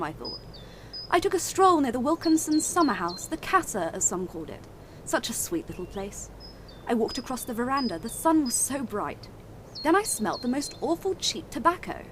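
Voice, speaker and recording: High-pitched, genteel British wife. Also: female voice, slight background noise. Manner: refined, wistful, period diction. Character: genteel Edwardian general's wife, narrating her own murder. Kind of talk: radio play acting, first-person narration.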